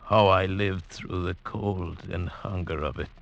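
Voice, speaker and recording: An actor playing an anguished, awestruck mountaineer, telling his ordeal in a poetic, measured, intense 1940s radio drama style. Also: low-pitched, fairly even intonation, gravelly, fairly bright tone, exaggerated voice. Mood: awed, weary. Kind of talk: 1940s radio drama acting, first-person narration. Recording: dull vintage radio recording with music. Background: dramatic orchestral score.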